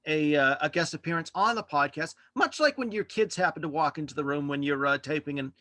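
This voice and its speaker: A man talking casually as a podcast host being interviewed in a relaxed conversational exchange. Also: fairly high pitch, a little fast, no echo. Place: indoors at home, on a video call.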